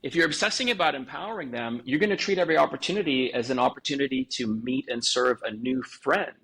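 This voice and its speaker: A man's voice: mid-range voice, quick pace, sharp tone, breathy edge, low-quality recording. A man giving conversational expert advice as a podcast guest, explaining things point by point. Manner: explanatory, lists points in series, acts out sample phrases. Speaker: podcast guest, sales coach.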